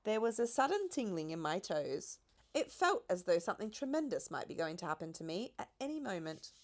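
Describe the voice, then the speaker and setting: A woman's voice: medium pitch, big pitch swings, fairly quick. A woman narrating a scripted children's audiobook story. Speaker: audiobook reader.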